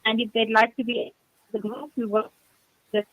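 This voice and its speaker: A woman's voice: a little high, flat delivery, moderate pace, dry recording. South African woman on a tinny phone line. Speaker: interview guest, community charity organiser. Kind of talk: conversational radio phone interview. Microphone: telephone.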